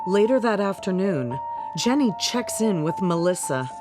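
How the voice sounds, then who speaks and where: A woman's voice: mid-range voice, big pitch swings, normal speed, loud music behind the voice. A woman reading scripted narration for a radio drama.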